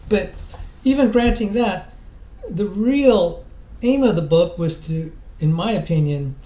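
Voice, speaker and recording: A man giving an informal lecture as a presenter, speaking in a halting way and often interrupting himself. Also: high voice, expressive, warm tone. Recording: roomy, echoey sound.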